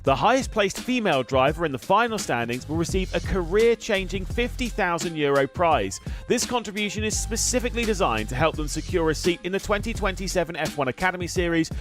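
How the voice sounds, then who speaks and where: A man's voice: sits high, expressive, brisk, hardly any pauses. A man reading a scripted motorsport explainer as a broadcast voice-over. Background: music.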